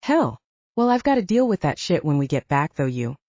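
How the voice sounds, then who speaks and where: A woman's voice: low-pitched, sing-song, brisk, warm tone, no room sound, dull audio. A woman voicing a cocky, teasing, pragmatic male soldier in an audiobook, with casual bantering and coaxing. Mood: indignant. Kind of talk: dramatized audiobook dialogue.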